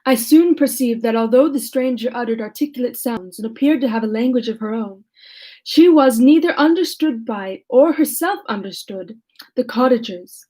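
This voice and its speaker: A woman reading aloud as a lonely male creature who tells his own story in a measured, formal way. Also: a little high, dark tone, close to an everyday voice. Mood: earnest. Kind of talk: reading a classic novel aloud.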